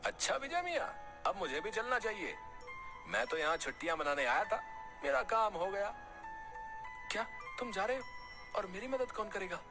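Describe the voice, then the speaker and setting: A man plays an emotional villager in a cartoon moral story. The voice is on the high side and swings widely, with clear music behind it. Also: big pitch swings, moderate pace, long pauses. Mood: parting. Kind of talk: dramatic Hindi cartoon story acting.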